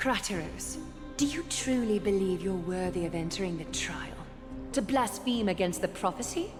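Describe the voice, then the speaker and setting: A woman's voice: medium pitch, animated, normal speed, close to an everyday voice. An actress playing an imperious, cold noblewoman in a video game, speaking formally with stern haughtiness. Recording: long hall reverb under loud music.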